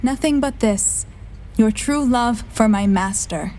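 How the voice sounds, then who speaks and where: An actress playing a spirited, clever, earnest character in a Shakespearean audio drama. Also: female voice, fairly high pitch, takes it slowly, mellow. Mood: polite firmness.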